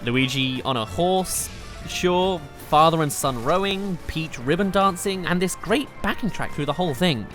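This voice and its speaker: A man with a fairly high voice delivers scripted countdown commentary as a game reviewer. His delivery is animated and gushing, with informal phrasing. Game music plays clearly underneath him. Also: moderate pace. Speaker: video game reviewer and narrator. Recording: loud music behind the voice. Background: game soundtrack music.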